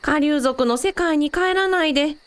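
A woman playing an affectionate, perceptive mother with a gentle, expressive manner in a Japanese-style radio drama. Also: high voice, normal speed, dry recording, close to an everyday voice. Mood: fond, cheerful.

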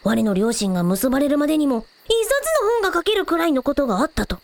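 Squeaky, creaky little dragon prince. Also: female voice, very animated, fast, no room sound. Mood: proud, reassuring. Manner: quaint archaic old-fashioned lordly speech. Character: small childlike dragon prince, proud and good-natured.

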